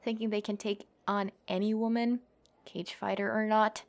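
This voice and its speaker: A cocky young female cage fighter, acted by a woman in an ASMR roleplay. Her voice sits at an average pitch for a woman. She taunts with scoffing contempt and swaggering menace, protective underneath, and draws out her threats for effect. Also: flat delivery, natural voice. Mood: cold contempt. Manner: taunting, swaggering, foul-mouthed, theatrical pauses and scoffing.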